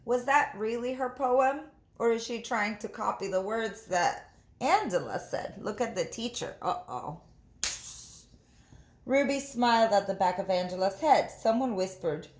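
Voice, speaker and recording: A woman's voice: on the high side, lively intonation, a little slow. A woman teacher reading a picture book aloud, narrating and putting questions to young listeners. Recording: roomy echo.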